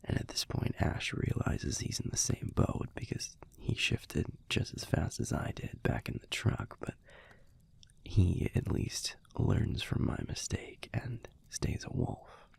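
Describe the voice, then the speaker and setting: Male actor as affectionate young werewolf boyfriend for intimate audio roleplay; deep, very gravelly, soft and casual. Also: lively intonation, dry recording, full character voice. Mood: amused, reminiscing. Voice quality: breathy, husky, gravelly near-whisper. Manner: soft, unhurried, casual, salty-tongued.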